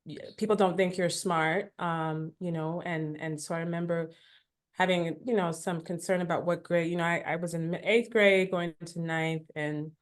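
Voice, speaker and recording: A woman's voice: fairly low pitch, steady tone, normal speed. A woman from Africa, a podcast guest, telling her immigration story in a reflective, casual way, full of "you know" asides.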